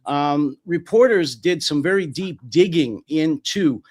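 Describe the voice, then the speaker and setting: A man from New York, a guest on an online panel, talking in a halting, self-deprecating way and clearing his throat often. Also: fairly high pitch, animated, darkish tone. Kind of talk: casual discussion.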